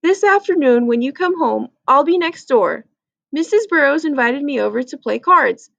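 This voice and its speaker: A woman voicing a busy storybook mother who is brisk and firm but indulgent. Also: high voice, lively intonation, creaky, a bit bright, no echo, muffled recording, full character voice.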